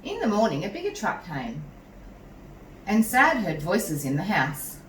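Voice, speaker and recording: Expressive, slow-reading woman storyteller. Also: mid-range voice. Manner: measured, animated, with tag questions to children. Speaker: teacher reading a story to children. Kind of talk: expressive picture-book read-aloud with asides to young listeners. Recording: roomy echo, distant mic, some noise.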